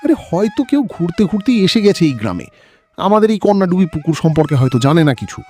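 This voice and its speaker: A man's voice: high-pitched, sing-song, quick pace, darkish tone. A man playing an irritable, cruel heir of a landlord family in a cartoon drama, scornful and dismissive. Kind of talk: Bengali cartoon drama acting. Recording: music under the voice.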